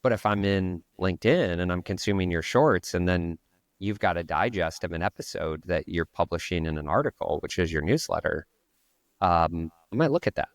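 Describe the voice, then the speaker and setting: Deep-voiced man explaining marketing tools. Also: moderate pace, no room sound. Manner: explains step by step, thinking aloud. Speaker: podcast co-host. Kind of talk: casual marketing podcast chat.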